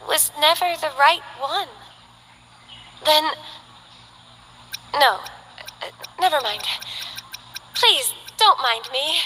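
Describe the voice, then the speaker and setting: A woman voicing a timid, devout young acolyte who talks softly and apologizes often. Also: high voice, a little hiss or hum, full character voice, poor audio. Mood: pensive. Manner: soft, hesitant, apologetic. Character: gentle young female acolyte of a holy order, timid and devout. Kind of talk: game character voice lines.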